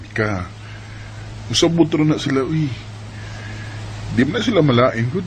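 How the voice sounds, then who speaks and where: A man's voice: fairly high pitch, animated, a little slow. A man with a Filipino Bisaya accent playing an earnest, easygoing young suitor in an amateur radio drama. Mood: earnest, coaxing. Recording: noisy homemade radio drama recording.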